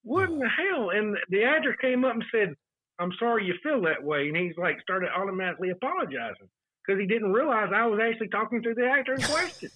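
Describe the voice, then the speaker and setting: A man's voice: high-pitched, expressive, fast talker, breathy edge. A man telling anecdotes as a guest on a casual podcast, with lots of fillers. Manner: storytelling with many fillers. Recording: tinny phone-line sound.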